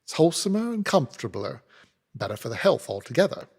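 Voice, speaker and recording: A man's voice: fairly high pitch, sing-song, fast, creaky, darkish tone, husky, heavily acted. A man voicing a cheerful, motherly soldier's wife in a raised, airy voice with a wandering put-on accent. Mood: coaxing. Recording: reverberant room.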